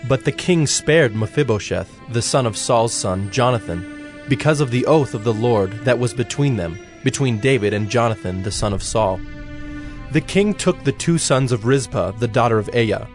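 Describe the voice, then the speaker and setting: Solemn male scripture narrator over faint music. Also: mid-range voice.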